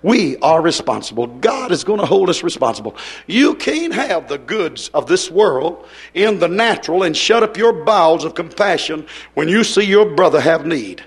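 A hoarse man preaching a fiery revival sermon, hollering and then dropping soft. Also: on the high side, big pitch swings, moderate pace. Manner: hollering, swings from loud to soft.

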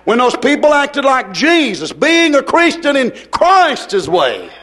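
A hoarse man preaching a fiery revival sermon, hollering and then dropping soft. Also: very high voice, wildly swooping pitch, slow. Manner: hollering, swings from loud to soft.

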